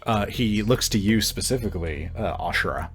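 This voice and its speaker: Guy game mastering a tabletop rpg, lowish voice, casual halting narration made up on the spot. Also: lively intonation, soft background music.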